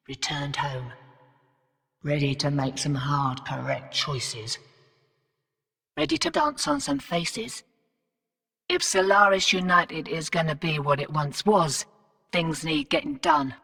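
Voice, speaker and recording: A woman's voice: sits low, a bit bright, slightly rough, in a large hall, heavily acted. An actress voicing a cocky female rogue fortune hunter and arms dealer in a video game, cheeky, slangy and sardonic. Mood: resolute. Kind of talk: video game character voice lines.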